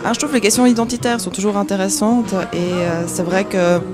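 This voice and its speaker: A woman's voice: mid-range voice, a little fast, over music. A woman of Iranian origin, a guest at an event, giving brief answers that trail off. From Iran. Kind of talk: casual chat.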